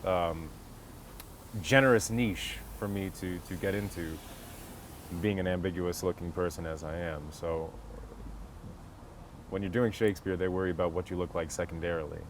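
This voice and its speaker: A man's voice: low-pitched, steady tone, normal speed, long pauses. An American man from Connecticut telling stories as a stage actor in a casual outdoor interview. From Connecticut, United States. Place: outdoors on a golf course. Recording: clear outdoor background noise, vehicles.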